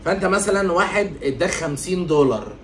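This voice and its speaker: A man's voice: high voice, animated, a little hiss or hum. A man with an Egyptian accent playing a bossy, impatient man who scolds and lectures a clueless youngster. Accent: Egyptian Arabic. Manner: scolding, bossy, quick to shout. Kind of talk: comedy sketch.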